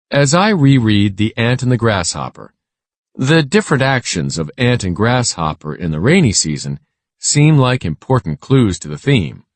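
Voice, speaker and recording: A man reading a scripted children's lesson aloud in a measured, instructional way. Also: fairly low pitch, no room sound.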